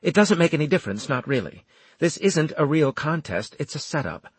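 A man as a higher-pitched, insistent officer. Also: sing-song, brisk, husky, dry recording. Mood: dismissive. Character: Deitch, tense military officer weighing battle plans. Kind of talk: audiobook character acting.

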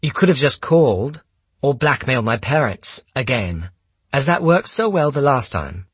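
A man's voice: mid-range voice, very animated, moderate pace, breathy edge, dry recording, voice pushed up. A man voicing a cool, defiant young woman in an audiobook, with a level, sardonic, taunting delivery. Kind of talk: audiobook character dialogue.

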